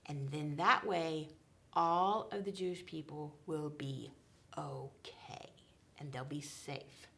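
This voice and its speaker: A woman's voice: low-pitched, fairly even intonation, slow pace, gravelly, bright, forceful tone, exaggerated voice. A woman voicing a powerful, commanding king in a children's Bible story.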